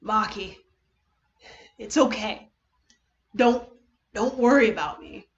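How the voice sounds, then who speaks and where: A woman voicing a brave, weakened teenage boy hero with earnest, halting bravado in a dramatic story reading. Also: on the high side, dull audio. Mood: earnest reassurance.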